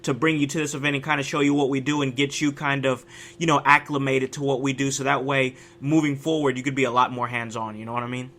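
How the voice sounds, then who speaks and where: A man hosting a casual podcast chat, sounding raspy from a cold, talking with an animated, hyped-up energy and frequent restarts. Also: mid-range voice, quick pace. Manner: animated, hype delivery with many restarts. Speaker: podcast host.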